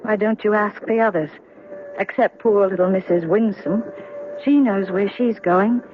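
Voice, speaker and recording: A woman's voice: mid-range voice, unhurried, natural voice. A woman acting a bitter, cynical young widow in a radio drama, with a curt, sardonic way of talking. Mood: fatalistic. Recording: muffled, noisy old radio drama recording.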